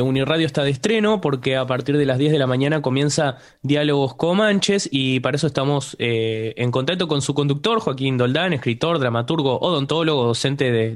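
A man hosting a radio interview in an easygoing way, with hesitant fillers. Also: mid-range voice. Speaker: radio host.

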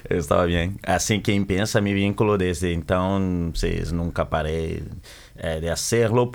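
Low-voiced Congolese man, halting speech. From Democratic Republic of the Congo. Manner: halting, self-correcting, searching for words. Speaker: guest, capoeira practitioner. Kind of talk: interview answers.

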